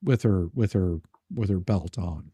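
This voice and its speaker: Dark-voiced man rambling through a story. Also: low-pitched, husky, no echo. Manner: halting, rambling storyteller who repeats words. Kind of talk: casual chat, telling personal anecdotes.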